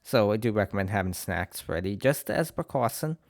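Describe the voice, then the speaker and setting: A low-pitched man with a New England accent and a slight lisp, giving a casual walkthrough of a game. He has a cold and rambles easily, with plenty of "uh"s and restarts. The recording is close and dry. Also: moderate pace, no room sound. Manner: relaxed and rambling, many "uh"s and restarts. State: has a cold, clearing his throat. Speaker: game guide creator. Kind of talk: casual gameplay walkthrough commentary.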